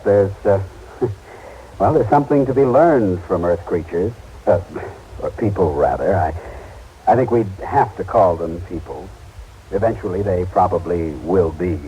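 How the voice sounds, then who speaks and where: An actor playing a calm, kindly otherworldly man, hesitant and musing, in radio drama style. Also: deep voice, a little hiss or hum, rough recording.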